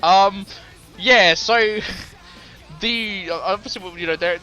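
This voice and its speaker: A man's voice: high-pitched, slow pace, sharp tone, rough recording. A man co-hosting a casual music podcast, rambling with many fillers and restarts and laughing easily. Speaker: podcast co-host. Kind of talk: casual chat about music.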